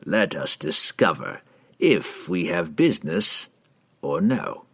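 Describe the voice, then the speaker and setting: Cold male assassin, performed by a narrator, very low growl, slow, quiet menace, curt, contemptuous, fantasy audiobook. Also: sing-song, breathy edge, full character voice. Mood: commanding.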